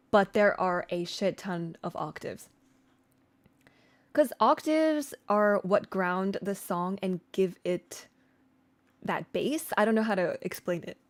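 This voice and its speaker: A woman streamer and singer giving an informal, chatty vocal tutorial, with slangy asides and replies to viewers. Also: medium pitch. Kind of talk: casual livestream tutorial with chat replies.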